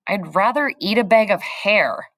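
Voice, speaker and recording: A woman's voice: medium pitch, expressive, a little slow, a bit bright, dry recording, voice pushed up. A woman voicing a joking teenage boy who teases his younger sister. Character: cheerful teen boy, older brother, joking.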